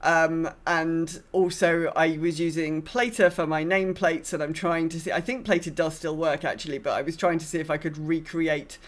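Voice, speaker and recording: A woman's voice: sits low, fairly even intonation, moderate pace, few pauses. A woman co-hosting a gaming podcast in casual chat, thinking aloud in a hesitant, hedging way. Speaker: podcast co-host.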